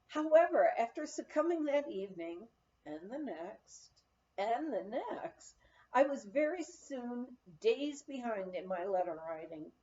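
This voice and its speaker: A woman reading a memoir aloud as an audiobook narrator, telling it in an expressive storytelling manner. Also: fairly high pitch, measured pace, muffled recording. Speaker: audiobook reader.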